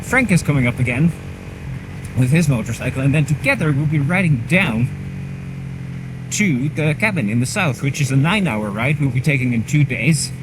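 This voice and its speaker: A man's voice: mid-range voice, lively intonation, normal speed. Man vlogging from a motorbike helmet. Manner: chatty, rambling, self-mocking. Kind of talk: casual running commentary while riding. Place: on a motorcycle on a country road outdoors. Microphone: helmet mic. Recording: helmet mic with clear engine noise. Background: engine and wind noise.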